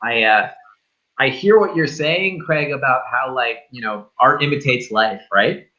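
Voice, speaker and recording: A man's voice: mid-range voice, measured pace, sharp tone, lo-fi sound. A man hosting a casual movie review chat, speaking informally and jokily with the odd swear word. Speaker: film review show host.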